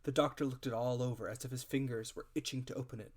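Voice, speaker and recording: A man's voice: medium pitch, steady tone, fast talker. A man reading a classic adventure story aloud as scripted, dramatic narration. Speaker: audiobook reader.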